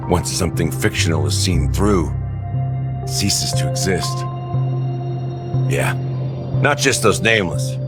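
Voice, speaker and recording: A man's voice: low-pitched, a little slow, pauses often. A man playing a weary, wry rogue in a video game, speaking ruefully and without hurry to an old comrade. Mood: wry, reflective. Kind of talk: scripted game story monologue. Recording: voice over background music.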